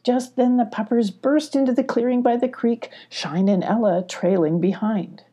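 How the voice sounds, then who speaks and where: A woman reading a scripted children's story in a measured, expressive storytelling manner. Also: on the high side. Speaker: audiobook reader.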